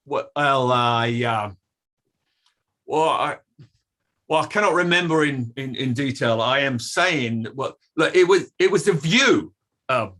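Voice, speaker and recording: An actor playing a defensive, evasive company safety official testifying at an inquiry in a play reading, formal and guarded. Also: male voice, mid-range voice, takes it slowly, pauses often, sharp tone. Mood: flustered, evasive. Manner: guarded, formal, clipped denials with hesitant hedging. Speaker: actor in an online table read.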